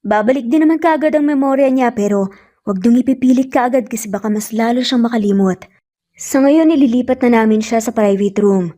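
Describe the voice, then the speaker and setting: A calm hospital doctor in an audio romance, voiced by a woman. The voice is fairly high and soft-toned, explaining things in a reassuring manner. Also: brisk, natural voice. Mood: calm reassurance.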